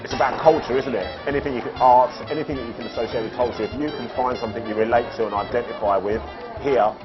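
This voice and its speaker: A man's voice: medium pitch, steady tone, fast talker, lo-fi sound, over music, crowd noise. A man, talking reflectively in a documentary interview and often restarting his phrases. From East End of London.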